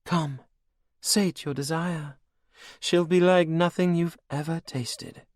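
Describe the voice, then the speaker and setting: Man doing a regal vampire queen, cruel, sweetly mocking, high voice, dry sound. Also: steady tone, takes it slowly, dry recording. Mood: mocking command.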